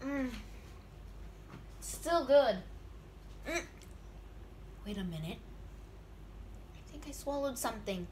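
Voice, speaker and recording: A woman's voice: high-pitched, expressive, slow, pauses often, a little mellow, slight background noise. A woman unboxing candy on camera in a casual vlog, joking and pretending to be scared. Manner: playful, mock-scared squeals and jokes. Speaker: vlogger. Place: indoors at a table. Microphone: phone camera held over a table.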